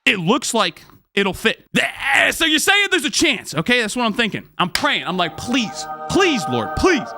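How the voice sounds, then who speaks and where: A man who streams, telling a casual story to viewers in an excitable, slangy way, repeating phrases for emphasis. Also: very high-pitched, a little slow, gravelly, soft background music.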